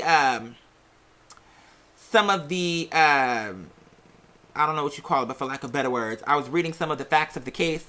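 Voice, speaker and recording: A commentator with a bright, forceful voice at a middle pitch. The delivery is sassy and blunt, full of casual slang. It sounds like opinionated gossip talk, conversational and direct.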